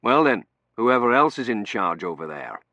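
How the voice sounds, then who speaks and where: A man voicing a brusque, commanding male academic in an audiobook, brisk, authoritative and easily exasperated. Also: fairly low pitch, sing-song, sharp tone, no room sound, dull audio, voice pushed up, heavily acted.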